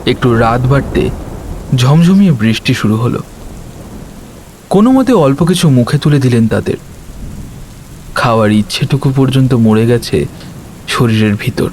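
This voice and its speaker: A man narrating a scripted horror story with dramatic, measured phrasing and deliberate pauses. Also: mid-range voice, expressive, darkish tone, slight background noise. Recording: music bed under the voice. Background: music.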